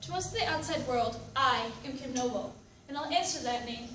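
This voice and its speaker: Troubled, introspective woman with many selves, actress on stage, high-pitched, distant mic in echoey room. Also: fairly quick, a bit bright, slight background noise. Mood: reflective. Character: troubled, reflective woman living with many personalities. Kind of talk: readers theatre monologue.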